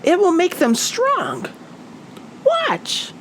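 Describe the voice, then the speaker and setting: A woman's voice: very high voice, wildly swooping pitch, extremely slow, a little hiss or hum, pitched above the performer's own voice, full character voice. A woman voicing an eager, confident little girl bird in a children's storybook, chirpy and encouraging.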